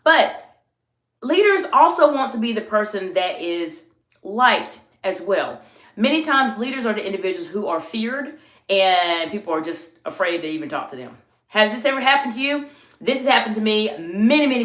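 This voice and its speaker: Woman executive coach, Southern US accent with a New York edge, emphatic drawn-out delivery, roomy echo. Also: medium pitch, big pitch swings, fairly bright tone. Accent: Southern US mixed with New York. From American South. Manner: drawn-out emphatic words, reads chat aloud. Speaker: executive coach and channel host. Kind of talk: live-stream coaching talk.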